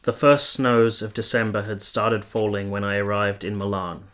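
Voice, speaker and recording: Man playing a low-voiced, earnest young officer. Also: steady tone, fairly bright tone, natural voice. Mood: reflective, wistful. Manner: measured, sincere, literary delivery. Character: earnest young Italian officer, tender, reflective narrator. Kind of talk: radio play acting and literary narration.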